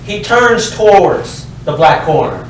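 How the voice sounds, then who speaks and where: Slow male stage-directions reader, very echoey. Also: on the high side, big pitch swings. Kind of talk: scripted narration at a staged reading. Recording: very echoey hall, distant mic, faint noise.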